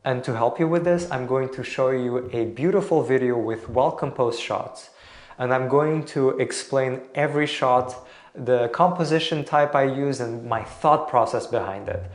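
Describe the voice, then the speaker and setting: A man's voice: medium pitch, moderate pace. Guy from portugal walking you through editing tips, chill and encouraging, mid voice, roomy echo. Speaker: video tutor. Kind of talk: explaining video composition.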